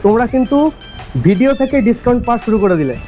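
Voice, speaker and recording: A man's voice: very high voice, animated, quick pace, warm tone, soft background music. A man with a West Bengal Bengali accent giving a casual unboxing review, explaining things directly to viewers. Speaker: vlogger and product reviewer.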